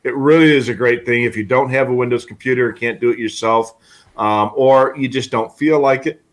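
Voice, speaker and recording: A man's voice: mid-range voice, a little fast. A man co-hosting a live hobby show, explaining products in a casual, conversational way. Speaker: co-host of a live radio scanner show.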